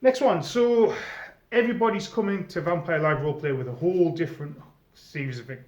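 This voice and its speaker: A man's voice: fairly high pitch, a little fast, a little mellow. A middle-aged man giving informal, conversational advice as a presenter explaining things to newcomers. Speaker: presenter, former game storyteller. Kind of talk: informal advice video monologue. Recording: echoey room, slightly dull sound.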